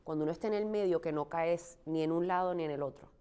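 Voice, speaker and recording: Low-voiced Puerto Rican-raised woman, thoughtful. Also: fast talker, mellow, smooth. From Puerto Rico. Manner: thoughtful, frequent restarts and "eh" fillers. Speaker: actress, interview guest. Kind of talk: conversational interview answers.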